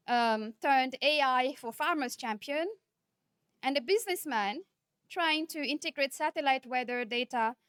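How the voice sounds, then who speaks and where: A woman from Nepal, giving a conference keynote speech in a measured, earnest manner, often posing rhetorical questions. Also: sits high, a bit bright, no echo, studio quality. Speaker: keynote speaker.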